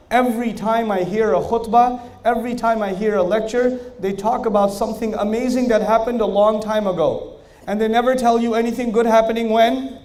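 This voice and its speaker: A man with a fairly high voice gives a religious talk to a crowd, speaking in a storytelling way that rises with emphasis on key points. His voice echoes around a large hall. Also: darkish tone. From Pakistani family, raised in Germany, Saudi Arabia and New York. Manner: conversational storytelling, rising emphasis, rhetorical questions. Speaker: Islamic lecturer. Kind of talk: religious lecture to an audience.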